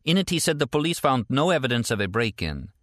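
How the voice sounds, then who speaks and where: Man voicing an earnest, tender woman, pitched up. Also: very animated, quick pace, fairly bright tone, dry recording, clean studio sound. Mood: earnest, troubled. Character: frightened, teasing, tender American woman on a phone call.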